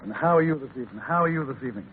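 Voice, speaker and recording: An actor playing a wealthy, courteous, self-assured suitor with a polished, formal manner in a 1930s radio drama. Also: male voice, medium pitch, lively intonation, racing, lightly acted. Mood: courtly, wooing. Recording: tinny, faintly noisy 1930s radio broadcast.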